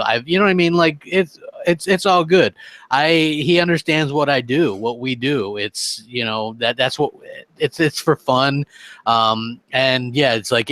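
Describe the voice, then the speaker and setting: A man with a mid-pitched voice co-hosts a gaming talk show in a close, dry recording. He talks casually and loosely, rambling and breaking into his own sentences as he explains himself. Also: fairly bright tone, no echo. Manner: loose, rambling, self-interrupting. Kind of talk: casual, off-the-cuff chat.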